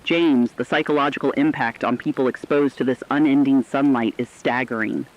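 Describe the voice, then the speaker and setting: A man's voice: mid-range voice, fairly even intonation, normal speed, close to an everyday voice. A man playing an alarmed, earnest radio reporter, delivering scripted news in a serious, articulate, emphatic way. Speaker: news correspondent. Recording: dull lo-fi radio sound.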